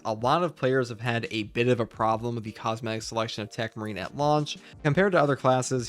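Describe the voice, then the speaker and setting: A man hosting a gaming news video, reading scripted updates in a measured, informative way with personal opinions mixed in. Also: medium pitch.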